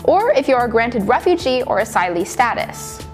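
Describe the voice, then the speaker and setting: High, lively woman narrator. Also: moderate pace, faint music behind. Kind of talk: scripted documentary narration.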